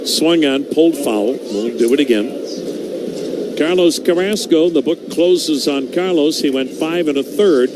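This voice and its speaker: A male radio play-by-play announcer calls baseball at an unhurried pace, naming each pitch and the count. His voice sits a little high for a man. Steady noise and outdoor ambience run under the broadcast. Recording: noisy broadcast audio.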